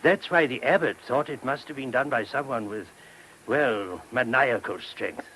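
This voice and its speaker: Attore che fa un monaco esitante, vecchia radio rumorosa. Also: male voice, medium pitch, fairly bright tone, lightly acted. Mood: troubled, earnest. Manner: hesitant, apologetic, halting. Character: anxious, courteous monk guiding a police inspector. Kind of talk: radio play acting. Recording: noisy old radio recording.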